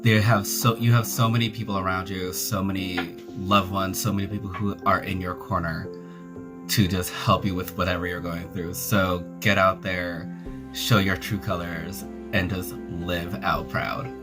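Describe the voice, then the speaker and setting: A man's voice: low-pitched, little pitch movement, moderate pace, over music. A man giving an earnest, encouraging motivational message.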